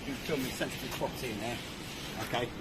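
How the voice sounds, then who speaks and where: Male cop, fast clipped replies, noisy outdoor street recording. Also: medium pitch. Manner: clipped, repeated "okay", guarded. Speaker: armed police officer. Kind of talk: on-duty questioning. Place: outdoors by an embassy gate. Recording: street traffic noise behind.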